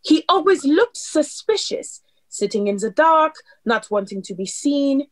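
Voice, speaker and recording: A woman playing a poised, clever club performer in a radio play, explaining things with deliberate dramatic delivery. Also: high voice, sing-song. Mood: triumphant explaining.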